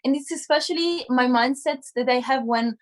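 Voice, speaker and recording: Young activist woman with a high voice. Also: normal speed. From Italy. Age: young adult. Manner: candid, talkative, many fillers. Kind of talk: panel discussion on a live stream.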